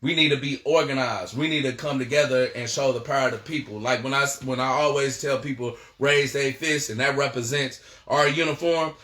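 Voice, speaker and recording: An American man delivers a political lesson with a bright, forceful voice. He is emphatic and rallying, circling back over phrases and tripping on words as he goes. The sound is roomy, with clear echo around his voice. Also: medium pitch, steady tone, brisk, hardly any pauses. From United States. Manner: emphatic, repetitive, rallying, with frequent stumbles. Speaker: political education teacher. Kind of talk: impassioned political lecture with read-out passages.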